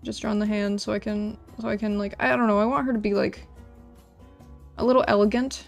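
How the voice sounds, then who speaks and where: A woman with a noticeably creaky, fry-laden voice chats casually on a livestream. She rambles informally as she works, repeating little phrases while she talks to her chat. Light music plays quietly behind her. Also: medium pitch, little pitch movement, brisk. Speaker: livestreamer drawing and designing game characters.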